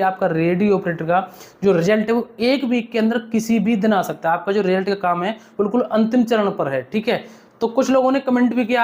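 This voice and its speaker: A man's voice: sits high, darkish tone. A young man, speaking informally and earnestly to viewers like a mentor, repeating points and often checking they follow. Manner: earnest, repetitive, uses frequent check-in tags. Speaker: exam-update video creator and mentor. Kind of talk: informal advice vlog to viewers.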